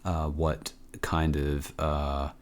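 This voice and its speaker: Guy with a low voice walking thru a software tutorial, casual, kinda thinking out loud. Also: completely flat delivery, very slow. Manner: informal, hesitant, thinking aloud. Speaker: tutorial host.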